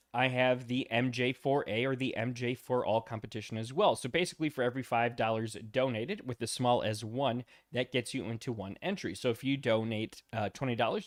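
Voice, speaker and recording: Male stream host, worn out and going hoarse, easygoing rambling chat. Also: medium pitch. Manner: loose and rambling, many ums and uhs, greets chat by name. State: tired and losing his voice. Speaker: livestream host. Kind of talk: casual livestream chat.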